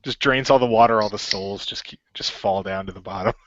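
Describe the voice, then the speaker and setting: A man's voice: lowish voice, a little fast, fairly bright tone, muffled recording, a little hiss or hum. A man chatting and joking casually among players of an amateur radio play.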